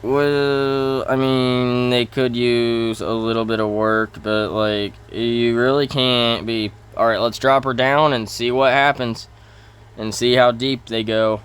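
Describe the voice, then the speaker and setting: A man giving casual commentary over farming gameplay, halting and often correcting himself as he thinks aloud. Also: mid-range voice, level tone, fairly bright tone, clear, resonant tone. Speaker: gaming video host.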